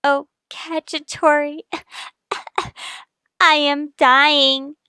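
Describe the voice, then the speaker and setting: An actress playing the bar owner's ditzy daughter in an old-time radio comedy, with a drawn-out melodramatic delivery. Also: female voice, high-pitched, flat delivery, sharp tone, dry recording, clean studio sound, exaggerated voice. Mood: mock-tragic.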